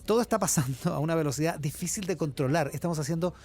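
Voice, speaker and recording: An actor playing an earnest radio newscaster announcing a strange emergency live in an audio drama. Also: male voice, fairly high pitch, fast talker, a little mellow, slightly rough. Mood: urgent, serious. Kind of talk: scripted live-broadcast announcement in audio fiction.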